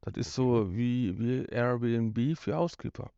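A man's voice: medium pitch, takes it slowly, creaky, warm tone, clean tone, dry recording, dull audio. A man co-hosting a podcast, chatting casually and jokingly about an audio drama. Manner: joking, mimicking. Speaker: podcast co-host.

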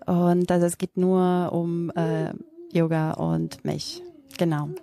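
Gravelly, soft-spoken woman with Macedonian accent. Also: lowish voice, takes it slowly. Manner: hesitant, many ums and restarts. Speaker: yoga teacher, interview guest. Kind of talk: casual interview answers.

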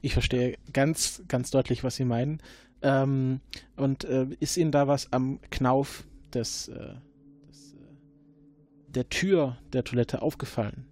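A man's voice: medium pitch, fairly even intonation, unhurried, darkish tone, dry recording, close to an everyday voice. A man doing a dryly sarcastic agent interrogating. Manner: probing, dryly sarcastic questioning. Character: probing male time-travel agent, adult, sardonic interrogator. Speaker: time-travel agent investigating a case.

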